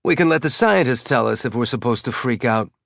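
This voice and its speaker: A man voicing a tough male crewman with a flat, deadpan delivery. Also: mid-range voice, brisk, gravelly, no room sound, clean studio sound.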